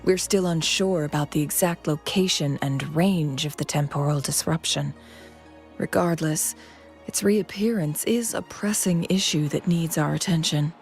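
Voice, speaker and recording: Calm lowish-voiced game strategist lady, formal n measured, sharp-minded advisor, music in the back. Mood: composed, serious. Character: composed, perceptive female strategist advisor, calm and deliberate. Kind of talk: scripted video game dialogue. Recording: music behind the voice.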